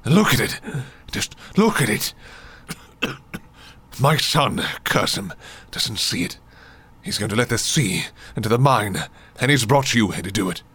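An actor playing an obsessive, pleading old mine owner in a radio drama, insistent and repeating himself in agitation. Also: male voice, on the low side, very animated, unhurried, long pauses, fairly bright tone, breathy edge, exaggerated voice. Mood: urgent, pleading. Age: older.

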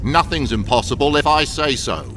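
A man's voice: mid-range voice, normal speed, big-room sound, music in the background, close to an everyday voice, low-quality recording. A man playing a furious, domineering criminal captain in a radio thriller, scolding emphatically. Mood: threatening.

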